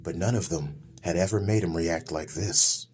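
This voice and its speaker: A man's voice: deep voice, normal speed, a little mellow, breathy edge. A man reading scripted fantasy audiobook narration as the storyteller.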